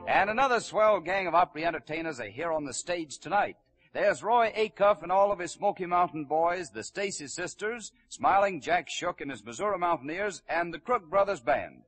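A man's voice: high-pitched, animated, moderate pace, a bit bright, husky. A man announcing a variety show in the style of 1940s radio, on a vintage broadcast recording. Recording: vintage 1940 radio broadcast, music behind. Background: music.

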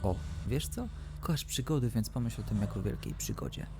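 A man voicing an upbeat, affectionate, adventurous middle-aged father in an animated cartoon fandub, with an enthusiastic, coaxing manner. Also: on the low side, brisk, background noise. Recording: music behind the voice.

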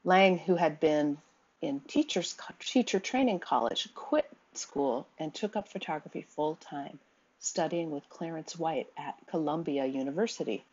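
A woman lectures in a measured, steady voice over a sequence of slides. Her voice sits low and is somewhat husky. The recording is dull and lo-fi. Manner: measured, reads quotes aloud, occasional ums.

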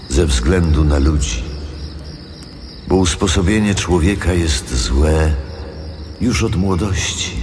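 Casting: God for scripture audio drama. Low, slow, measured, commanding. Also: male voice, noisy background, full character voice. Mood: solemn resolve. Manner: solemn, measured, authoritative. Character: God of the Old Testament, solemn, commanding male deity.

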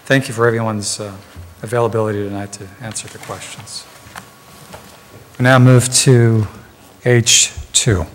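Middle-aged man, board chair, mid pitch, brisk, reverberant room with light hiss. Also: fairly even intonation. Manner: brisk procedural, calls votes. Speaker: board president chairing the meeting. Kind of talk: chairing a public meeting. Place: council chamber, seated at the dais. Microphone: desk gooseneck mic. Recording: echoey chamber with faint noise.

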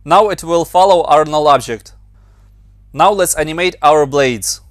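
A man's voice: a little high, a little slow, no room sound. Ukrainian-accented man, dry close voice. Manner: plain, step-by-step instructions with slightly non-native grammar. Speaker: motion designer and tutorial maker. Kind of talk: screen-recorded software tutorial.

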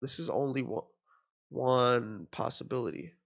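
A man's voice: mid-range voice, expressive, unhurried, creaky, a bit bright. A man in his thirties working through an exam question as a tutor, sounding nasal from a cold and thinking aloud with fillers and restarts. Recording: dull lo-fi screen recording.

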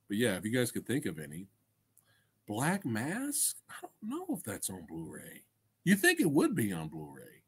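Livestream host: middle-aged man, husky, highly animated, slangy and enthusiastic, loose casual chat. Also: normal speed. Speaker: livestream host and film reviewer. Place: small indoor room crammed with collectibles. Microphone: desk microphone close in front.

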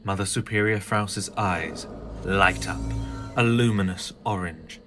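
A man's voice: low-pitched, unhurried, music in the background. A man reading scripted narration for an audio drama.

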